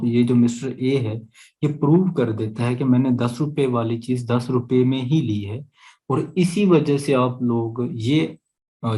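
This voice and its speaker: A man's voice: medium pitch, mellow. A man with a Pakistani accent teaching a law class, explaining step by step and often checking understanding. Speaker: law lecturer. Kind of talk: explanatory class lecture with student questions.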